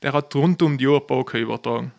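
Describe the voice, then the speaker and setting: A man in his thirties with a South Tyrolean accent talks as a podcast guest. His voice sits at a middle pitch. He is casual and enthusiastic, telling personal stories in colloquial, loosely joined phrases. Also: fast talker, gravelly, darkish tone. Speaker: podcast guest, professional poker player. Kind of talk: casual chat, personal storytelling.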